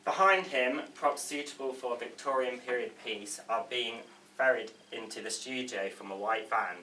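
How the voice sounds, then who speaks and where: A man's voice: mid-range voice, normal speed, bright, forceful tone. A man reading stage directions as scripted narration at a table read. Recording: echoey room, distant mic, faint noise.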